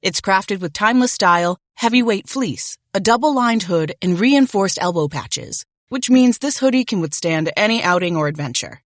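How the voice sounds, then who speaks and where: A woman's voice: medium pitch, animated, normal speed, hardly any pauses, no room sound. American woman reading a commercial, very dry sound. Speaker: advert voice-over. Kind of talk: scripted commercial narration.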